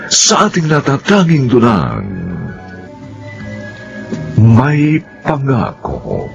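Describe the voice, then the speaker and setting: A Filipino man working as a radio drama announcer, reading a formal closing script with theatrical, drawn-out phrasing. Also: medium pitch, huge pitch swings, gravelly, highs cut off. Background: music.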